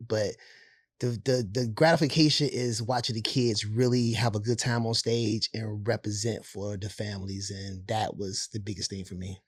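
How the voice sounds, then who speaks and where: Hoarse-voiced man, casual podcast talk. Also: fairly low pitch, fairly even intonation, no echo. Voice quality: husky from overuse. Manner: laid-back, drawn-out, frequent affirmations. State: hoarse, raspy voice. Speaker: co-host, theater director for schools.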